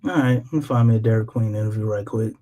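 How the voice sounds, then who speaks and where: A man's voice: lowish voice, fast talker, dark tone. An American man hosting a live sports show, chatting casually with slang, jokes and some swearing. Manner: slangy, joking, profane.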